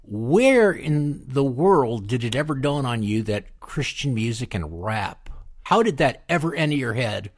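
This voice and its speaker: A man's voice: medium pitch, very animated, measured pace, no room sound, studio quality. A man hosting a music podcast in a casual, informal and jokey way. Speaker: podcast host. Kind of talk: casual music interview and sponsor read.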